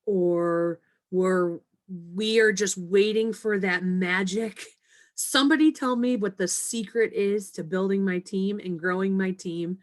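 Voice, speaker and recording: A woman leading her direct sales team over a video call, coaching them with repeated, emphatic points. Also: mid-range voice. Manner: repetitive, emphatic motivational coaching.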